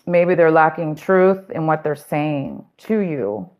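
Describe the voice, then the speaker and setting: Woman, tarot reader, lowish voice, chatty and meandering, voice getting worn. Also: expressive, takes it slowly, highs cut off. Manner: chatty, rambling with fillers and restarts. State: losing her voice. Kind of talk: casual live tarot reading.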